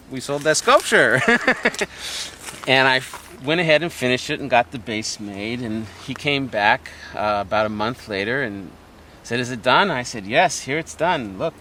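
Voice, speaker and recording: A middle-aged man, a sculptor, chatting and telling a long anecdote in a relaxed interview. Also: medium pitch, moderate pace, a little hiss or hum. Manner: chatty anecdotal storyteller, laughs often. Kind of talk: casual storytelling interview.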